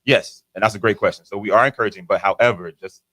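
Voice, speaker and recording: A man's voice: fairly low pitch, steady tone, quick pace, pushed and bright, dry recording. A man being interviewed on a radio show, talking upbeat and repeating his phrases. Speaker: event organizer guest. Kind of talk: casual radio interview talk. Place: radio studio.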